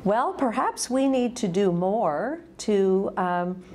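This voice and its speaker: A woman in her sixties, a school administrator, answering interview questions in a measured, thoughtful way with lapel mic. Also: medium pitch, lively intonation, darkish tone, a little hiss or hum. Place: school office indoors.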